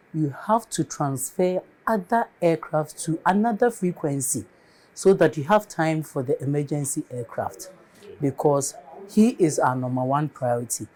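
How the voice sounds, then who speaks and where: A woman with a Ghanaian accent answering interview questions as an air traffic controller, explaining things step by step in a deliberate, emphatic way. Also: sits low, dark tone, rough recording.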